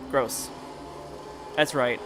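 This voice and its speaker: A man's voice: drawn-out words, background noise. A young man chatting casually on a game livestream, blunt and joking with frequent swearing. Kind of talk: casual chat over a game stream.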